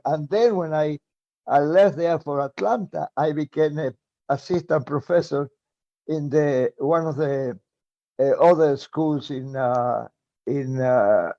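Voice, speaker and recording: Elderly Argentine man, muffled and halting. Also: fairly high pitch, warm tone. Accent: Argentine Spanish. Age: around 90. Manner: halting, many pauses and fillers, chuckles often. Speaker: guest, retired medical professor. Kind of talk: conversational interview.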